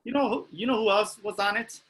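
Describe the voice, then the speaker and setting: A man with a raspy voice acting out a character's lines at a table read. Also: high voice, a little fast, fairly bright tone, poor audio. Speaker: table-read participant reading a character part. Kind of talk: acted script reading.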